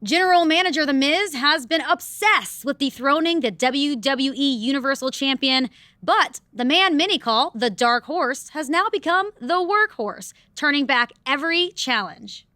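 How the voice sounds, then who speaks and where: Brief: a wrestling commentator in a game, high and crisp, dry studio sound. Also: female voice, expressive, normal speed, pushed and bright, no room sound. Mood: upbeat. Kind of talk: scripted commentary.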